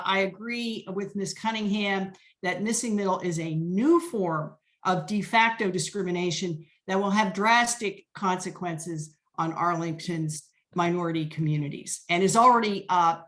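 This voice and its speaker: A woman candidate with a lowish voice answers firmly over a video call. She runs quickly through a list of points, one after another. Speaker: political candidate.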